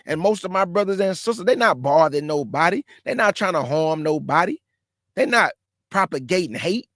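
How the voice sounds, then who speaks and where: Male host with a high voice and dry sound, scolds in slang while reacting to clips. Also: fairly bright tone, no echo. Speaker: show host. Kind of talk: reaction commentary.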